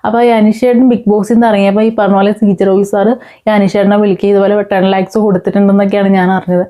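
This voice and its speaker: A woman with a Kerala accent hosts informal news commentary, talking to viewers in a conversational, hesitant way with frequent pauses. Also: medium pitch, little pitch movement, warm tone. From Kerala, India. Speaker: online news commentary host.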